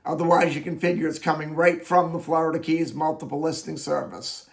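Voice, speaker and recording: A man working as a real estate agent, talking through his daily listing video in a plain, conversational way, his voice raspy from allergies. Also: fairly high pitch, fairly even intonation, fairly quick. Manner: plain-spoken, conversational, explains at length. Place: Florida Keys.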